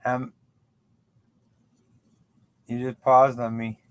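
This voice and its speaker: A man's voice: mid-range voice, flat delivery, extremely slow, clear, resonant tone, no room sound. A man in his late thirties chatting as a guest, joking and self-deprecating, stumbling over words. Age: 30s. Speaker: podcast guest. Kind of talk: casual chat.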